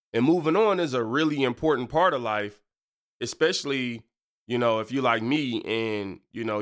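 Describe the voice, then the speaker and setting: A young man talks through a stuffy, congested nose at a middle pitch. He keeps his faith podcast loose and conversational, with slang, asides and plenty of "you know what I'm saying". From Michigan. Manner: relaxed slangy talk with many fillers. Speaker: podcast host and preacher. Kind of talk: casual devotional podcast talk.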